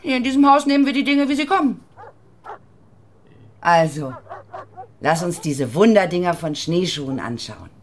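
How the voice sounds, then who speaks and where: A woman's voice: fairly low pitch, expressive, normal speed, pauses often, a little hiss or hum, close to an everyday voice. An actress playing a blunt, eccentric, middle-aged working-class mother of a teenage boy in a radio play, earthy and commanding. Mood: blunt, welcoming. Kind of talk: German radio play acting.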